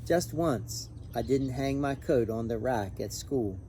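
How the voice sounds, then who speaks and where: A man reading a children's picture book aloud in an expressive, animated, emphatic way. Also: medium pitch, unhurried, a little hiss or hum. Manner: animated, emphatic on repeated refrain. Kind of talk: expressive storybook read-aloud with brief asides.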